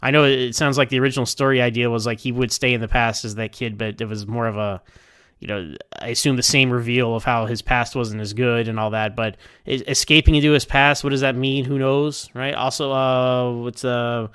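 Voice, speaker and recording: Man, mid-range clear voice, for informal episode commentary; conversational, meandering delivery, very dry sound. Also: mid-range voice, fairly even intonation, a little fast, a bit bright, no room sound. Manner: conversational, hedging, thinking aloud. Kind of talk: casual rambling TV episode review.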